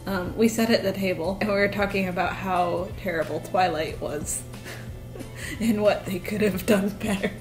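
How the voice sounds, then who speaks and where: A woman's voice: medium pitch, steady tone, normal speed, over music. Chatty young woman rambling into her camera. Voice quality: slightly raspy. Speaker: vlogger. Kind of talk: casual vlog chat. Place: small home kitchen, indoors. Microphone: camera on a desk, facing her.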